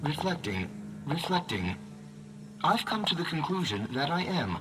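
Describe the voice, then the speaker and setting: A man's voice: on the high side, big pitch swings, a little slow, exaggerated voice, poor audio. A man playing a polite, servile ancient clockwork automaton in a video game, speaking formally and with quaint precision. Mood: cheerful, earnest. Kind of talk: video game character dialogue. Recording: game music over the voice. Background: loud game music.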